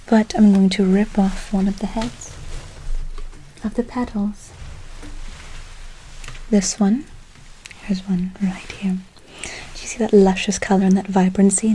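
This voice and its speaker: Seeking a woman to play a tender woodland witch for ASMR: breathy whisper, soft dark tone, lulling repetition, slight background noise. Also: mid-range voice, natural voice, rough recording. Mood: gentle, instructing. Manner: soothing, repetitive, endearments, lingering on words. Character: gentle, affectionate woodland witch casting a good luck spell.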